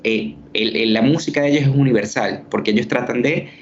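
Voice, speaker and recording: A man's voice: mid-range voice, a little fast, highs cut off, slight background noise. Man explaining like a teacher. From Venezuela. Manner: earnest, teacherly, many fillers. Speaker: journalist and university teacher. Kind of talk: explanatory interview answers.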